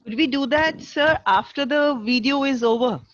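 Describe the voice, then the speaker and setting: A woman's voice: high voice, moderate pace, a bit bright. A woman with an Indian accent hosting an online class as its teacher. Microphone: video call. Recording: dull video-call audio.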